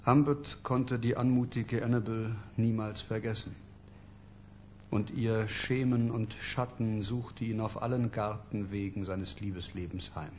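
An actor playing a detached biographer, narrating another man's life in measured literary radio drama prose. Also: lowish voice, fairly even intonation, a little mellow, a little hiss or hum, close to an everyday voice. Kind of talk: scripted third-person radio drama narration.

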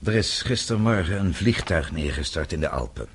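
Very deep-voiced, authoritative father-in-law. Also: lively intonation, normal speed, slight background noise. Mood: composed, advising. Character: authoritative, unruffled father-in-law advising a younger man. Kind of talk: radio drama acting.

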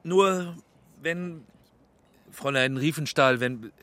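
An actor in a radio drama playing an exacting but tactful male film director, polite, careful and quietly skeptical. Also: fairly high pitch, slow pace. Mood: careful, exasperated.

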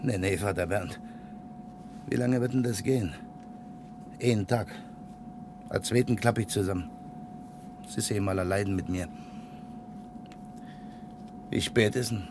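Low-voiced, timid Silesian bridegroom played by a man. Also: a little slow, long pauses, close to an everyday voice. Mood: earnest, uneasy. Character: pious, timid, earnest bridegroom longing for quiet. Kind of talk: naturalistic German radio drama acting. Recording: noisy old radio production.

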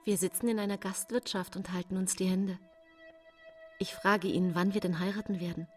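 A woman's voice: mid-range voice, steady tone, normal speed, faint music behind, lightly acted. An actress playing a middle-aged female poisoner who confidingly narrates her own case in a German radio play. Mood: matter-of-fact.

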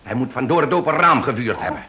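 An actor playing a grumpy, aggrieved man in a radio play, gruff and sarcastic, on an old recording. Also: mid-range voice, very animated, quick pace, sharp tone. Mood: indignant. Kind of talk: Dutch-language radio play acting.

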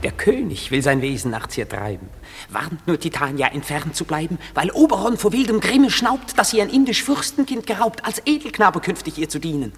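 A mischievous goblin prankster in a classic radio play. The voice is husky and theatrical, swinging gleefully through verse. It rings in a long reverb. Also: female voice, on the low side, very animated, a little fast, exaggerated voice. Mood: conspiratorial. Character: mischievous goblin prankster, servant of the fairy king.

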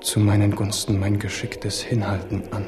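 A man's voice: on the low side, completely flat delivery, normal speed, over music, close to an everyday voice. An actor playing a wily young captive king in a German radio play, theatrical and intense, deferential on the surface and anguished underneath. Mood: urgent.

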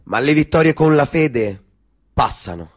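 A man's voice: on the high side, lively intonation, unhurried. A man delivering scripted theatrical narration.

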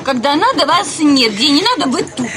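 A woman's voice: high-pitched, very animated, normal speed. A woman acting a flirtatious, capricious, nagging wife in a radio drama, exclamatory and theatrical. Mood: scolding.

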